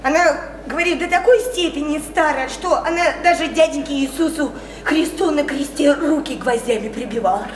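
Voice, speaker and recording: Actress as a high-voiced, naive young provincial woman. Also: roomy sound, lightly acted. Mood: confiding. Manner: breathless, halting, childlike, repeats herself. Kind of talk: stage-style radio drama acting.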